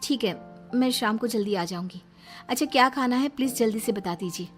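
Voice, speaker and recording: A woman's voice: a little high, fairly quick, lightly acted. A woman playing a sensible young working wife in a moral story, polite but earnest and questioning. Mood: conciliatory. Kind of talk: Hindi moral story dramatization. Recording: music behind the voice.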